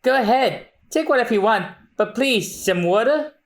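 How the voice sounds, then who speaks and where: Brief: man doing a parched young woman castaway, soft and pleading, lilting. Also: very high voice, expressive, moderate pace, pitched above the performer's own voice.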